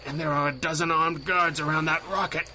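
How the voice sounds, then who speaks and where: A worn-out, stubborn hero in a radio drama. He talks drily and formally in a bright, tense voice, over faint noise of night insects. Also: a little high, steady tone, fairly quick. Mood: strained, wry. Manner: dry, formal wording. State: weak, exhausted. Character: weakened, wry, erudite male masked hero, stubborn.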